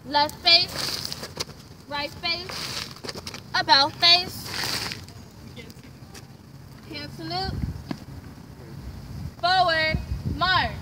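Woman calling drill commands outdoors. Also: high-pitched. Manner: drawn-out, clipped commands. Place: outdoor parking lot. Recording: distant phone audio, outdoor noise.

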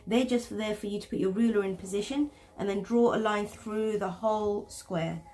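A woman's voice: mid-range voice, normal speed, mellow, rough recording. A woman talking viewers through drawing steps at her desk, chatty and self-deprecating. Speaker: art teacher. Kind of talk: step-by-step drawing tutorial.

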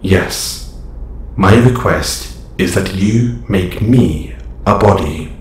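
A calm robot superhero, acted by a man for an audio roleplay, low in pitch and very unhurried. The phrasing is stilted and formal, and the voice rings in a roomy echo. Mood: firm, guarded. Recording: roomy echo, slight background noise.

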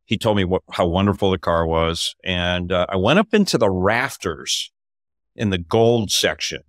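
An older man, a podcast host, telling a story in casual talk over a video call from a home office, his voice climbing when he gets excited. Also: low voice, normal speed, no room sound, clean studio sound.

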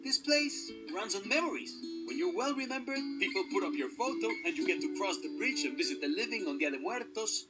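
A man voicing a scrappy, emotional skeleton father in a storybook read-along, expressive and pleading. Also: high voice, fairly quick, hardly any pauses, loud music behind the voice, rough recording. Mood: persuasive.

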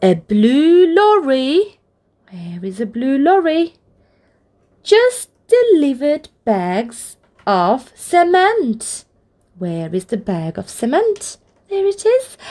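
Woman, children's storybook read-aloud, highish voice, very unhurried, expressive phrasing. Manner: deliberate, expressive phrasing aimed at small children. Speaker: children's storybook reader, channel host. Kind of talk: read-aloud of a picture book for toddlers, with soft encouraging asides.

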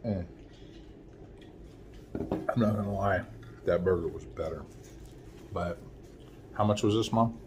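A man in his forties doing a casual food review at home, chatty and relaxed, filmed on a phone at arm's length while eating. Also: deep voice, takes it slowly, long pauses, noisy background. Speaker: food vlogger. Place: small room at home, window blinds. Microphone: phone camera at arm's length.

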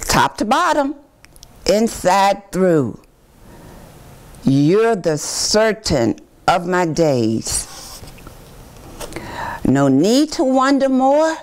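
An older woman reads a children's picture book aloud in a deliberate, expressive storytime manner. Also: mid-range voice. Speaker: city commissioner reading to children. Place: small indoor room with bookshelves.

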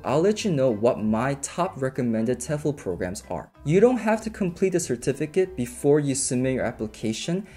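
A young man in his twenties giving an upbeat, scripted explainer to camera from a small bedroom. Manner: upbeat, clear and instructive, lively pitch. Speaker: vlogger explaining a teaching program. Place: small bedroom apartment, indoors.

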